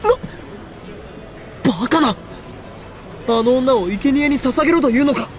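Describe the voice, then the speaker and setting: An actor playing a grandiose, paranoid young mad scientist in an anime-style game, with a theatrical, pompous delivery. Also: male voice, very high-pitched, a little fast. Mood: theatrical outrage. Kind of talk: Japanese anime game voice acting.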